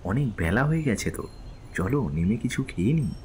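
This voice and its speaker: A man's voice: on the low side, very animated, moderate pace, darkish tone, a little hiss or hum. A man playing a considerate middle-aged husband in an audio story. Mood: gentle.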